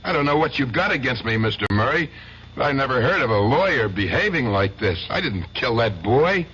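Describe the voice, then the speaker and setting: Sharp, volatile male accused killer. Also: medium pitch, big pitch swings, fairly quick, breathy edge. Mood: accusing. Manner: accusing, agitated. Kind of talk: 1970s radio drama acting. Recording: dull, noisy 1970s radio broadcast.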